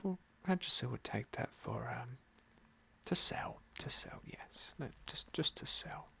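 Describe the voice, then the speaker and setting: A man hosts a fantasy game playthrough in a hushed ASMR whisper. His voice has a gravelly creak in it. He speaks softly and without hurry, choosing formal, genteel words. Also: mid-range voice, animated. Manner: soft-spoken, unhurried, formal and genteel phrasing.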